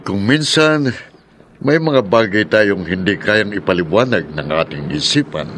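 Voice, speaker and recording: An actor playing a sober, philosophical police chief in a radio drama, measured and reflective. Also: male voice, fairly low pitch, expressive, a bit bright. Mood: reflective, firm. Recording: noisy outdoor street ambience behind.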